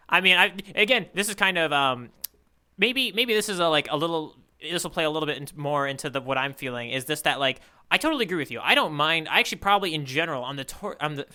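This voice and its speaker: A man's voice: fairly high pitch, animated, fast talker, bright, forceful tone, smooth. A man co-hosting a podcast, chatting casually and thinking aloud in a tentative way. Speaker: podcast co-host. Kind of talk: casual chat about a manga chapter.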